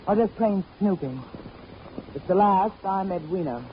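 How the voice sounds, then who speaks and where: An actress plays a cynical young wife who answers everything with a sarcastic edge. The sound is a muffled 1940s radio drama, lightly hissy. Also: female voice, medium pitch, expressive, a little slow, lightly acted. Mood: sardonic. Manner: sarcastic, tough. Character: sharp-tongued young wife on a yacht, cynical. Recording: dull old radio recording with faint hiss.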